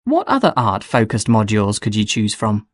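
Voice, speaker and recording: A man's voice: fairly low pitch, wildly swooping pitch, normal speed, no room sound, studio quality. A man playing an interested friend asking questions in a scripted listening-test dialogue.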